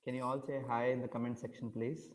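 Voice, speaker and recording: Halting male webinar presenter. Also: mid-range voice, little pitch movement. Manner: halting, repeatedly checking audibility. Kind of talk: informal online webinar teaching.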